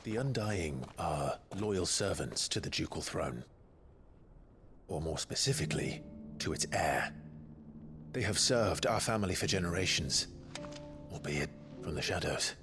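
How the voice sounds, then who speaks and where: An actor playing a grave, protective noble knight in a fantasy game, measured and earnest with a low, husky murmur. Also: male voice, lively intonation, over music. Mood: solemn, earnest. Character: grave, deep-voiced noble knight, protective elder brother. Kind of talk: dramatic fantasy game acting.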